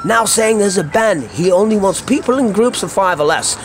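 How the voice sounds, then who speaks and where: A Scottish man with a notably high voice delivers a comic rant straight to his listeners. He is theatrical and foul-mouthed, leaning on colourful slang. Music plays clearly beneath him. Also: lively intonation, moderate pace. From Scotland. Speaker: podcast host. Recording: music under the voice.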